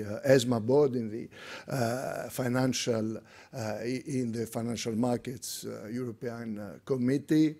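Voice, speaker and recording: A man's voice: medium pitch, darkish tone. A middle-aged man from Greece with a Greek accent, giving an unscripted conference talk full of fillers and restarts. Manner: hesitant, many fillers and restarts. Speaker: conference speaker. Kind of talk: unscripted lecture. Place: conference room.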